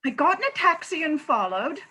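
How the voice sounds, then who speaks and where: Woman acting a high-pitched, distressed mother. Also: big pitch swings, brisk, bright, forceful tone, full character voice. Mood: pleading. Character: distressed unmarried mother, a nurse, pleading earnestly. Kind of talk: comic farce readers theatre.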